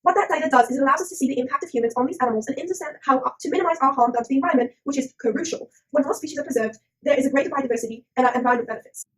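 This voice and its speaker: A woman's voice: high voice, racing, hardly any pauses, natural voice, poor audio. A woman voicing a cheeky puppet presenter, theatrical and slangy, in a scripted comic science explainer. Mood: cheeky explaining. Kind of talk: scripted comic educational narration.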